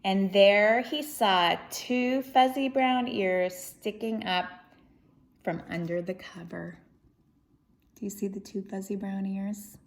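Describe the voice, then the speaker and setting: A woman reads a picture book aloud at an unhurried, slow pace. Her narration is expressive, and she explains things gently to young listeners. Her pitch is medium. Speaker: storybook reader. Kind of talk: children's read-aloud narration with explanatory asides.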